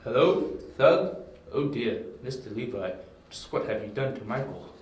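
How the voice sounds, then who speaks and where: Man playing a low-voiced, menacing crime boss, very echoey. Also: normal speed, a little mellow, lightly acted. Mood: mocking. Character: menacing male crime boss, smug and ruthless. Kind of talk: amateur audio drama acting. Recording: very echoey, distant, faint noise.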